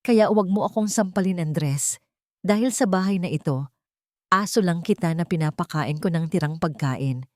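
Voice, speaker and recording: A woman's voice: lowish voice, normal speed, a little mellow, no room sound, clean studio sound, close to an everyday voice. A woman voicing a menacing rich young wife who taunts and commands in an audio drama story. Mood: scornful.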